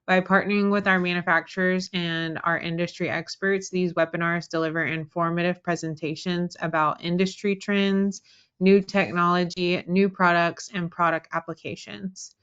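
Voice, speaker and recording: A woman's voice: fairly low pitch, normal speed, clean tone, dull audio. Woman reading a formal webinar welcome. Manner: reads a prepared welcome in an even, formal way. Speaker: marketing specialist hosting a webinar. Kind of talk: scripted webinar introduction.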